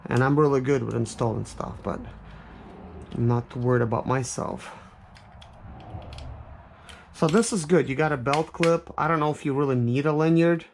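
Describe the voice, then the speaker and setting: A man's voice: mid-range voice, normal speed, long pauses, a little mellow. Casual man thinking aloud over background noise. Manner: thinks aloud, hesitant, informal. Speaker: product reviewer and vlog host. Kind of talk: casual hands-on unboxing commentary. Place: office. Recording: clear background noise with clicks.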